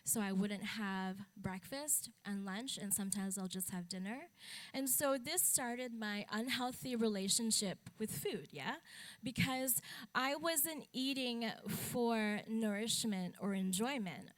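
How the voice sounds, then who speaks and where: A woman's voice: on the high side, slow pace, rough recording. A young adult woman from the Philippines giving a conference talk as a speaker and advocate, candid and matter-of-fact with wry humor. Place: stage at a talk event.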